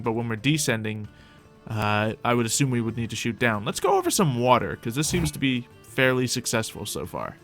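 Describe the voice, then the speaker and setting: A young man hosting a modded game roleplay, chatting casually as he plays and talks to his pet dragon, with soft music behind him. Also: mid-range voice. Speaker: roleplay gameplay host. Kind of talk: casual gameplay roleplay commentary.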